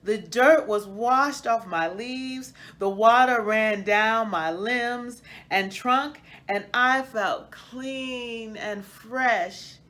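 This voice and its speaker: A woman's voice: fairly high pitch, very slow, few pauses, fairly bright tone. A woman voicing a young, joyful and grateful little-sister tree in a children's storybook, speaking emphatically. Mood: earnest, delighted.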